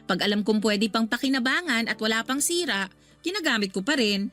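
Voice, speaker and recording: A woman's voice: fairly high pitch, expressive, a little fast, fairly bright tone, soft background music. A woman playing a polite, put-upon young Filipino goddaughter in a radio drama, restrained and reluctantly obliging.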